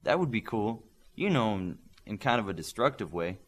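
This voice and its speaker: A man's voice: on the low side, big pitch swings, normal speed, breathy edge. A man voicing a dry, playful, sword-trained young superhero girl in an audiobook.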